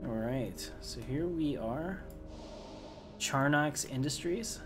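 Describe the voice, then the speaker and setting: A man's voice: fairly high pitch, animated, slow. Male gamer chatting over game music. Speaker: gaming video host. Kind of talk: casual let's play commentary.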